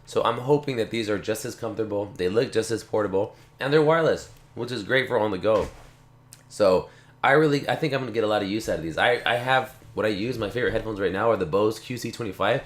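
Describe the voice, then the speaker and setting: A relaxed man with a slightly low voice rambles through a casual tech unboxing, circling back and repeating himself. He has a slight cough. The sound comes from an echoey room. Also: fast. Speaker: tech channel host. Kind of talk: casual unboxing talk to camera.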